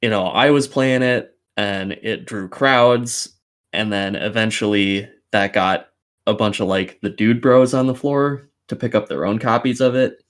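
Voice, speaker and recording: Low-pitched man, casual sweary podcast chat. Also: fairly even intonation, a little slow. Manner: sweary, blunt. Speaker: podcast co-host. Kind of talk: casual chat, telling anecdotes.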